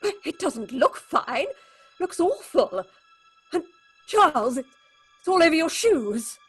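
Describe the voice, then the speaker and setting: Haunted stage actress, very high pitch, slow and halting, feverish, repetitive, unhinged, horror radio play. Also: female voice, sing-song, faint music behind, exaggerated voice. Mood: frantic alarm. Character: unhinged theatre actress haunted by visions of blood. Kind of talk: horror audio drama acting.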